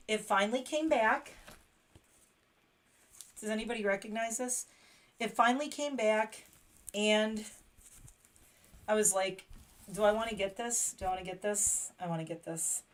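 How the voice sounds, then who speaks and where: A woman hosting a casual makeup livestream, chatty and excitable, laughing often. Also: fairly high pitch, moderate pace, pauses often. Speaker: beauty livestream host.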